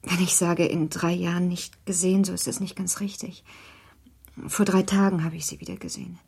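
An actress playing a sorrowful, proud young mother in a German radio play, pleading earnestly and formally in a breathy, restrained voice. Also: lowish voice, little pitch movement, a little fast, darkish tone. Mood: pleading, pained.

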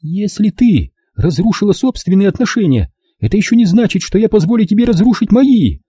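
Man doing a defiant, high-voiced grown daughter. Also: sing-song, a little fast, dark tone, breathy edge, dry recording, clean studio sound, exaggerated voice. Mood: cold, defiant. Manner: curt, argumentative. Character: defiant, stubborn grown daughter, weary and defensive. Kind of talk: audiobook character dialogue.

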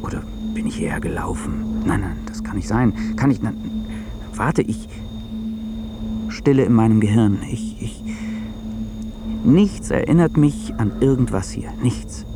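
An actor playing a stressed, disoriented businessman in a radio drama, halting and agitated, sometimes breaking into whispers. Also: fairly low pitch, expressive, noisy background. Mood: confused, panicky. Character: disoriented, stressed businessman lost in anonymous corridors. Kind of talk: radio drama acting, narration and inner monologue. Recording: loud music under the voice. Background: music.

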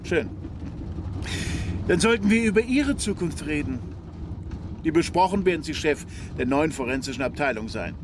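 An actor playing a coolly authoritative, threatening police inspector in a radio drama. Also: male voice, on the high side, moderate pace, long pauses, natural voice. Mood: commanding. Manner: commanding, calmly threatening. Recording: noisy vehicle and outdoor sounds behind.

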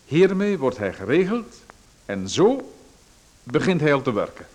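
An actor playing a pushy male salesman, lecturing smoothly and persuasively about a dubious hair machine. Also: on the high side, very animated, unhurried, darkish tone. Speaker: radio drama actor. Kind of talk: radio play acting.

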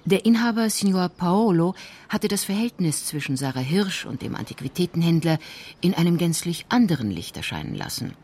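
A woman's voice: on the low side, big pitch swings, a little fast, darkish tone, slightly rough. A woman narrating a scripted crime story for a radio play in a composed, precise manner.

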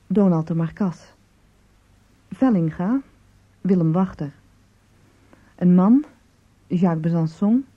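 A woman radio announcer reading scripted credits in a formal, measured way. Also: mid-range voice. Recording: dull, band-limited old radio recording.

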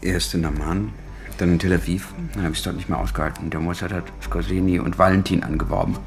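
A restless, disillusioned writer in a naturalistic radio drama. His voice is very deep and gravelly, and he talks in a terse, low-key way over clear music. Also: steady tone, quick pace. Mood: weary, matter-of-fact.

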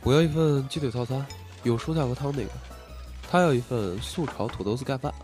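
A man acts out an aloof young male doctor in an audio drama. He teases in a deadpan, matter-of-fact way at a middling pitch, with faint music behind him. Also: fairly quick, lightly acted. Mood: bossy, dry.

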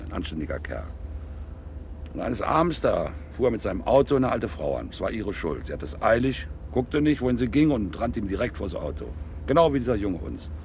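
An actor playing a callous, domineering boss in a radio crime drama, commanding and slickly persuasive. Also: male voice, low voice, vocal fry, darkish tone, a little hiss or hum, heavily acted. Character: domineering, scheming male driver, callous boss covering up an accident.

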